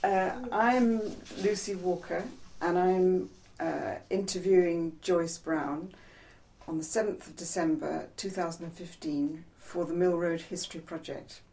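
Hesitant woman interviewer, mellow tone. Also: on the low side, fairly even intonation, measured pace, low-quality recording. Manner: hesitant, polite questioning. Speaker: oral history interviewer. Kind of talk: oral history interview.

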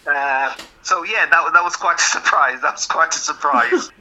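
An older man from Jamaica, recalling his past in an interview with a hesitant manner, often repeating words and chuckling softly. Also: a little high, normal speed, muffled recording. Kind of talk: reminiscing interview.

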